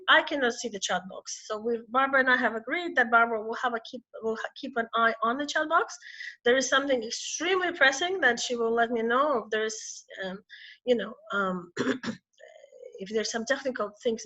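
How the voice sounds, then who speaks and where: A middle-aged woman from Ukraine, hoarse with a cold, giving an online talk as a psychotherapist, clearing her throat and restarting often. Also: on the high side, pushed and bright. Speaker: psychotherapist and webinar speaker. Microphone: video call. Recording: muffled lo-fi video call audio.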